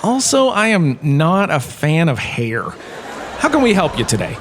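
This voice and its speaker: A man's voice: on the high side, very animated, unhurried, a bit bright, a faint crowd, a little hiss or hum. An actor playing a chatty, self-important male professor in a comic audio drama lecture, with a theatrical, conversational manner. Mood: chatty, pleased. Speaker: lecturer, host of the show.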